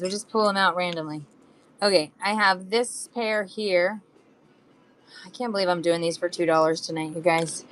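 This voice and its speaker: A woman's voice: mid-range voice, a little hiss or hum, rough recording. A woman running a live online sale, chatting casually with buyers as she shows beads and charms, her throat a bit phlegmy. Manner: chatty, hums and sings to herself between items. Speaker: live auction seller. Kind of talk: live selling stream chat.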